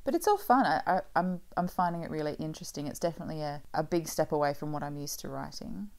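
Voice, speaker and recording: A woman with a low-pitched voice co-hosts a podcast. Her talk is casual and reflective, as if thinking things through aloud. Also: expressive, fast, darkish tone. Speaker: podcast co-host.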